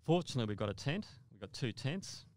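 A man's voice: mid-range voice, sing-song, fast talker, a little mellow, no echo. An older man telling a first-person story conversationally as a podcast guest, often repeating and rephrasing his words.

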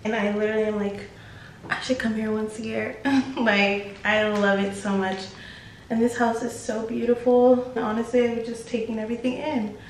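A woman's voice: on the high side, level tone, moderate pace, echoey room, slight background noise, low-quality recording. Chatty young woman vlogging on a phone. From United States. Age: young adult. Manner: chatty, swears freely, says "like" a lot. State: tired. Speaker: travel vlogger. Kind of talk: casual vlog to camera. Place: indoors in a vacation house. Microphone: phone camera at arm's length.

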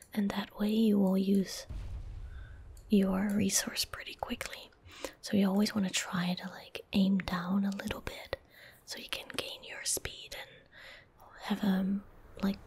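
A young woman doing soft-spoken ASMR gameplay commentary, gentle and breathy, close on a studio microphone. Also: medium pitch. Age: young adult. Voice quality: breathy, whispered. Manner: gentle, unhurried, casual. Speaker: ASMR game streamer. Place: indoor streaming room.

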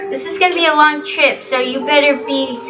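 A woman's voice: high-pitched, fairly even intonation, brisk. A woman playing along in an improvised video game roleplay. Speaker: player voicing an angel in a Minecraft roleplay. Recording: muffled, distant mic under loud music. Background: music.

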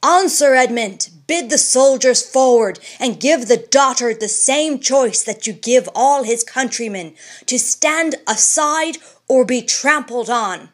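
A man's voice: extremely high pitch, slow, few pauses, fairly bright tone, pitched above the performer's own voice, exaggerated voice. A woman voicing a fierce, scornful male colonial official in an audiobook reading.

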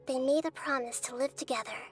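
A woman voicing a wise young goddess girl in a video game, who tells of old memories in a calm, gentle way. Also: high voice, fairly quick, faint music behind, lightly acted. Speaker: narrator of memories. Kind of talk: game voice acting.

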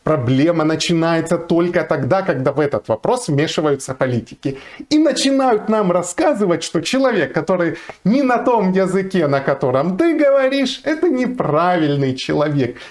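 A man's voice: on the high side, sing-song, moderate pace. A man in his forties, a lawyer from Ukraine, giving earnest, emphatic commentary to camera while seated in a small room, arguing his point with frequent fillers. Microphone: fixed camera, seated facing it.

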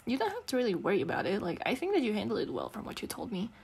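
A woman playing a giggly, teasing, affectionate girlfriend in an intimate ASMR roleplay, non-native in English, with soft, casual, rambling talk. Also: mid-range voice, wildly swooping pitch, very fast, creaky, slightly rough, full character voice. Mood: fond, teasing. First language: not English. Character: giggly, teasing, affectionate gamer girlfriend comforting her partner.

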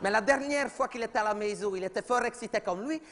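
A man doing a high-pitched, chattering mother. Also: quick pace, a bit bright, a little hiss or hum. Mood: chatty. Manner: rambling, gossipy, repeats "oui, oui", talks over others. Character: chatty, oblivious middle-aged mother at a school meeting. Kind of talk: comedy sketch monologue.

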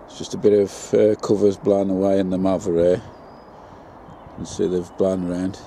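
A man's voice: sits low, little pitch movement, normal speed, mellow, slight background noise. A man, chatting casually as he shows his allotment work in a greenhouse. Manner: chatty, homely, plain-spoken. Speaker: allotment vlogger. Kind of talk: casual gardening vlog narration.

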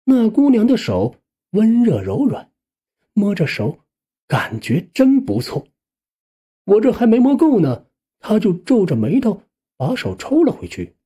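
Animated male audiobook narrator, colloquial storyteller. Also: high voice, unhurried, pauses often, breathy edge. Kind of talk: first-person scripted narration of a suspense novel.

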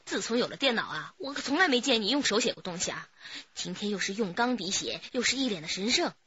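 一名女演员在广播剧里饰演一位机灵、爱调侃、不慌不忙的年轻女警。. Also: female voice, medium pitch, animated, fast talker, sharp tone, breathy edge, no room sound, heavily acted. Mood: teasing, amused. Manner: teasing, wry. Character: witty, teasing, unhurried young policewoman. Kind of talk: radio drama acting. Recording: dull, muffled audio.

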